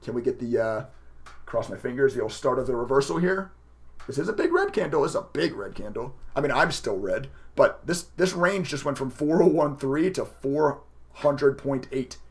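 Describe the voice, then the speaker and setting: Raspy, chatty male livestreamer. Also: mid-range voice, animated, normal speed. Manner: chatty, emotive, laughs often. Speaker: livestreaming day trader and content creator. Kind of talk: casual live stream commentary to viewers.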